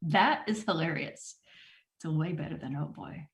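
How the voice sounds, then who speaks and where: A woman's voice: deep voice, a little slow. Woman playing a wry, awkward store manager. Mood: awkwardly curious. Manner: hesitant, wry. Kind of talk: comedy table read acting.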